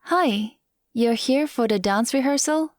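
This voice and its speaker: A woman's voice: on the high side, measured pace, dry recording, close to an everyday voice. Friendly, chatty young woman played by an actress, dry sound. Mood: friendly, impressed. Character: friendly, chatty, upbeat young woman dancer named Grace. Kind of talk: audio drama acting.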